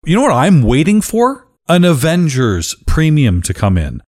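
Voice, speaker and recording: A man hosting a casual podcast chat, with a deep voice and a joking, blunt way of talking that drops casual swearing. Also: wildly swooping pitch, normal speed, darkish tone. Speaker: podcast host.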